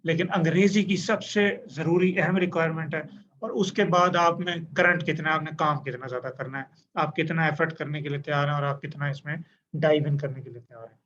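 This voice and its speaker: A man's voice: fairly high pitch, fairly even intonation, a little fast, a bit bright. A man hosting a casual online interview, chatty and agreeable, with joking asides. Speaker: host. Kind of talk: casual Urdu-English interview. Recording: dull lo-fi sound with faint noise.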